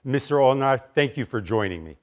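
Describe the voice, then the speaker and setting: A man's voice: mid-range voice, animated, a little fast. A man in his forties hosting a news interview, asking direct, pressing questions. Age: 40s. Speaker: news show host.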